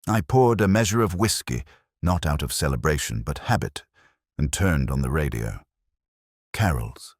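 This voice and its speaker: Very deep, brooding retired detective played by a man. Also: lively intonation, slightly rough, no echo, studio quality, exaggerated voice. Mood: brooding, wry. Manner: measured, wistful, erudite, wry. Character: melancholy older male retired Oxford police inspector, reflective narrator. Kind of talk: literary first-person detective drama monologue.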